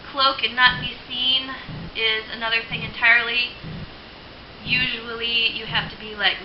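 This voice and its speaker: A young woman with a fairly high voice talking casually to camera, chatty and full of ums, likes and laughs. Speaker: video blogger. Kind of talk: casual spoken reflection. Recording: lo-fi roomy home recording with hiss.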